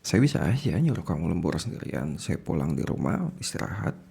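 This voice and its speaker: A man playing a possessive, smitten male boss in an intimate ASMR roleplay, speaking haltingly with an Indonesian accent in a breathy, gravelly voice that slips into whispers. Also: sits low, sing-song, warm tone, full character voice. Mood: scolding, exasperated. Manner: halting, sighing, repeats words, slips into whispers.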